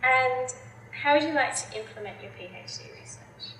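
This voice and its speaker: A woman's voice: sits high, animated, moderate pace, fairly bright tone. A woman interviewer asking brief, prompting questions. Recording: echoey room, mic far off.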